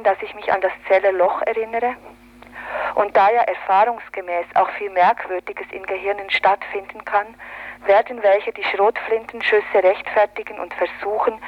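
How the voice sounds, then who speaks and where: Woman reading radio commentary, dull lo-fi sound. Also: mid-range voice. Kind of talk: scripted political radio commentary. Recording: dull lo-fi 1980s radio recording.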